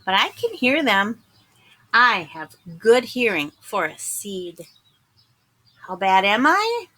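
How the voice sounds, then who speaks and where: A woman voicing a small, glum yet earnest bad sunflower seed in a picture book, confiding and dramatic with drawn-out emphasis. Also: mid-range voice, sing-song, bright, forceful tone, full character voice. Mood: confessing, defiant. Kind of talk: picture book narration in first person.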